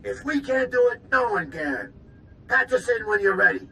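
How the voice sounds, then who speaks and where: A woman playing a confident, eager tech expert in a video game, brisk, cocky and informal. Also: on the high side, animated, a bit bright, a little hiss or hum. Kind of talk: dramatic video game acting.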